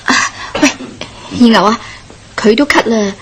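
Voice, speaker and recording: Nervy, nagging village woman for Hong Kong Cantonese radio horror, female actor: highish voice, impatient, light ambient noise. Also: a little slow, lightly acted. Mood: uneasy, fretful. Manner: fretful, nagging.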